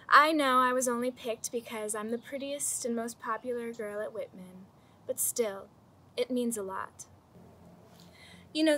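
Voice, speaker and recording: A young woman with a slightly high voice delivers a graduation speech full of dry, self-mocking jokes. She is recorded outdoors in a home garden on a close camera mic, with a faint hiss of outdoor ambience behind her. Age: young adult. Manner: deadpan self-mocking humor. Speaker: senior class speaker. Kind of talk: comic commencement speech.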